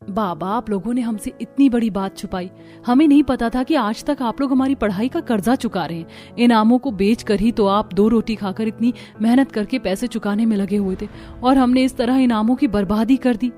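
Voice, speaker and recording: A woman voicing a chatty, somewhat pert young daughter-in-law from the city in an animated story. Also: a little high, moderate pace, natural voice. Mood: apologetic. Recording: music under the voice.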